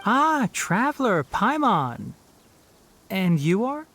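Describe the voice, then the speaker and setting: An actor playing a young male forest watcher in a video game, brisk, sensible and courteous. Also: high voice, big pitch swings, a bit bright, a little hiss or hum, exaggerated voice. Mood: curious.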